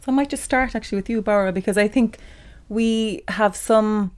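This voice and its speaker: A woman conducts an informal interview at an average pitch. Her questions come conversationally. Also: moderate pace. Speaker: interviewer, composer.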